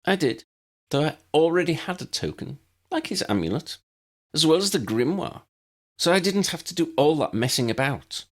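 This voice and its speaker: A man's voice: a little high, big pitch swings, normal speed, voice pushed up. A man voicing an imperious, scornful young witch villain in a fantasy audiobook, snappish and sneering. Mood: boastful, irritated. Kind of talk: fan-fiction audiobook character voice.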